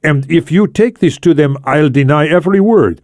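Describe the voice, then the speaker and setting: A man voicing an older, shrewd and commanding Spanish ex-intelligence officer in formal, deliberate audiobook dialogue. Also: fairly high pitch, darkish tone, no echo, clean studio sound, voice pushed up, natural voice. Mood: insistent, intense.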